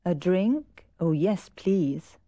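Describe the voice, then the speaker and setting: An actress playing a German teacher from Berlin, speaking in slow, clearly articulated textbook lines. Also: female voice, fairly low pitch, animated, warm tone, no room sound. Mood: polite. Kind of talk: scripted language course dialogue.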